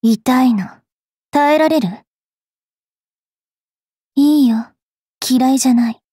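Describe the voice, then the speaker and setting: A young fairy witch knight from an anime game, haughty and bookish. The voice is high and a little husky, delivered slowly, slipping from prim manners into rough, sharp-tongued taunts. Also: no room sound, clean studio sound, heavily acted. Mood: cool, teasing. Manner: switches between prim politeness and rough, taunting speech. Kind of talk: anime mobile game character voice lines.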